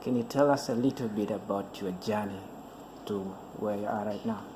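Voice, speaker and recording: Low-voiced guy doing a tv interview, short encouraging replies, noisy surroundings. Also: normal speed. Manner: brief, encouraging prompts. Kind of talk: interview questions. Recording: noisy background.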